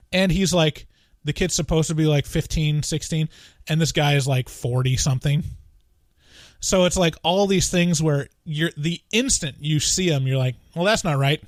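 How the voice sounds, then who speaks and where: A man with a slightly high voice talks through a film in easygoing podcast chat, leaning on "like" and "you know" and laughing between points. The sound is dry and close, with no room around it. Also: moderate pace, no echo. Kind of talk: casual movie review chat.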